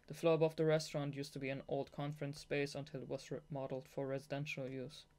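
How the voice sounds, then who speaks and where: Audiobook narrator, young adult, native German speaker, medium pitch, amateur novel reading with fannish asides. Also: level tone, quick pace, darkish tone. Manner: giggly, gushing fangirl asides between read passages. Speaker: audiobook reader.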